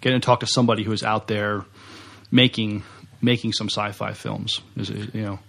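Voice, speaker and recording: Creaky, low-voiced man in halting casual chat. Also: level tone, fairly bright tone. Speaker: podcast co-host.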